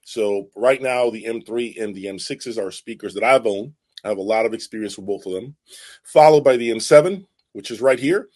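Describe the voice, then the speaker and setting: A man hosting an audiophile channel, giving casual, unscripted product commentary in an emphatic way, repeating his points and checking in as he goes. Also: mid-range voice. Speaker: host of an audiophile video channel.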